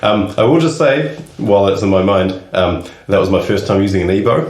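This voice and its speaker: A man with a deep, low voice chats loosely on a podcast. He speaks frankly, laughs often and swears freely. The sound rings in an echoey room. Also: expressive, a little fast. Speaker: podcast co-host. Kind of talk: casual chat.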